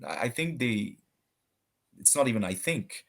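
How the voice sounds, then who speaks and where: A man from Switzerland talking as a guest in a casual debate conversation, halting and searching for words with many restarts. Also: medium pitch, lively intonation, a bit bright.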